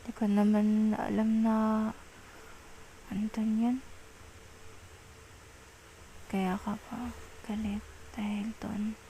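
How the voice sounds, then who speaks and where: A woman with a Filipino accent playing an apologetic, tender young girlfriend in an intimate ASMR roleplay, speaking softly and hesitantly in a coaxing way. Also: mid-range voice, little pitch movement, extremely slow, pauses often, a little hiss or hum, rough recording. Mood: defensive, coaxing. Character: apologetic young girlfriend, tender, pleading, soothing her jealous partner.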